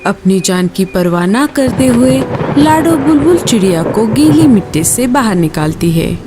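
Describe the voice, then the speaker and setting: A woman's voice: a little high, animated, unhurried, music in the background. A woman storyteller reading a scripted children's story.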